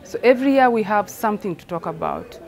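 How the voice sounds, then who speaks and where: A woman's voice: mid-range voice, animated, fast talker. A woman from Rwanda, a theatre director explaining her work reflectively, repeating phrases for emphasis.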